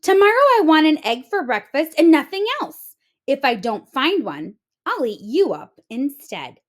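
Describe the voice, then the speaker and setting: A small, hungry storybook tomten, acted out by a woman reading aloud, demanding and full of exclamation. The voice is high and pushed, leaping up and down in pitch. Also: very animated, moderate pace. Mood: angry, threatening. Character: hungry, demanding little tomten who shouts and yowls.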